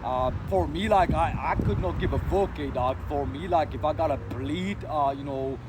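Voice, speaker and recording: A man's voice: a little high, normal speed. Rambling slangy man, busy street. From Sri Lanka. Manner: rambling, slang-heavy, many fillers and restarts. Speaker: vlogger. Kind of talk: casual unscripted monologue. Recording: noisy street with passing cars.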